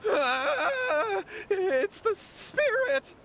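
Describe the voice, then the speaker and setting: A man's voice: extremely high pitch, big pitch swings, drawn-out words, slight background noise, heavily acted. An actor playing a cowardly, anxious young townsman in an anime video game, flustered and stammering. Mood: panicked. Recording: loud music behind.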